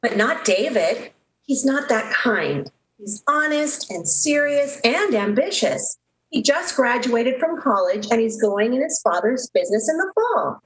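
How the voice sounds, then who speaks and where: A woman's voice: on the high side, very animated, a little slow, poor audio. A woman playing a dreamy, lovestruck young switchboard operator in 1930s radio style, earnest and gushing. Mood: earnest, devoted.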